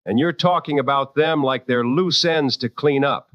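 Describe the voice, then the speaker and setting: An actor playing a seasoned, protective late-night radio host in a drama, with a firm, wry and deliberate delivery. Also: male voice, medium pitch, no echo, highs cut off, natural voice. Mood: indignant, protective.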